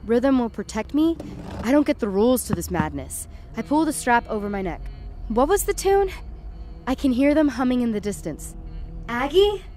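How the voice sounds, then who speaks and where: A woman's voice: on the high side, sing-song, moderate pace, dark tone. A woman playing a frightened, tripping young woman who narrates a supernatural night in the first person, tense and dramatic, in an audio horror drama. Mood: eerie unease.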